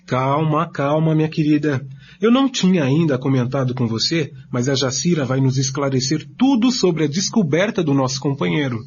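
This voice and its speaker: Higher-pitched, assured male group leader played by an actor. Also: animated, moderate pace, a little mellow, natural voice. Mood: reassuring. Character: male group leader, reassuring, assertive, addressing companions. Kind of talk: scripted amateur radio drama. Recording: dull recording, faint background noise.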